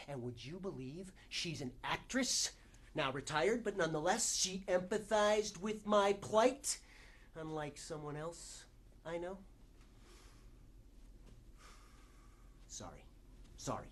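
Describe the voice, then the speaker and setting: A man performing a stage monologue as a desperate, newly clean struggling actor, halting and self-interrupting as he pleads and bargains. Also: on the high side, a little hiss or hum, lightly acted. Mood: confessional, irritated. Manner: halting, self-interrupting, swinging between hushed confession and outburst.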